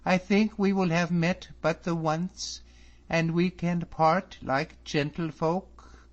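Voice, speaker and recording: A man reading an audiobook, voicing a proud, sharp-tongued young Scottish woman in a high put-on voice. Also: steady tone, slow. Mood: cold, indignant. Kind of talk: audiobook character voice. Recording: slightly muffled recording.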